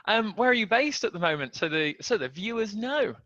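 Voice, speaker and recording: A man's voice: high voice, lively intonation, brisk, bright, forceful tone, dry recording, muffled recording. A man hosting a casual video podcast, joking and stumbling over restarts as he talks. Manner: chatty, jokey, stumbling restarts. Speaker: podcast host.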